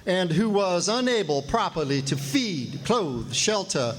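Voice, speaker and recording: An actor playing a stern, formal lawyer of the early 1900s, measured and oratorical, in a radio drama. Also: male voice, high-pitched, sing-song, a little hiss or hum. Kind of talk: radio drama courtroom speech.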